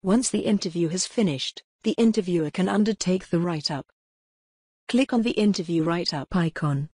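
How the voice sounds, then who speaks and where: A woman reading scripted tutorial narration in a measured, impersonal, step-by-step way. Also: fairly low pitch, a little mellow, breathy edge, no room sound, studio quality.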